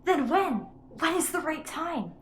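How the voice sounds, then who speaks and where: A woman's voice: high voice, very animated, measured pace, slight background noise. A young woman playing an impatient, blunt spaceship crew member who is pressing and sarcastic. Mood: urgent. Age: teens.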